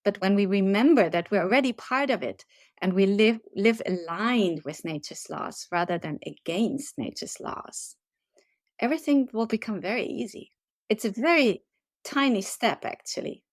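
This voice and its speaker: A woman from Germany answers interview questions in an earnest, measured way, with gentle emphasis. Her voice sits at a middle pitch. The sound is dry and close, with no room echo. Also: lively intonation. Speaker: resilience and leadership trainer, guest. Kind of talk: reflective podcast interview answers.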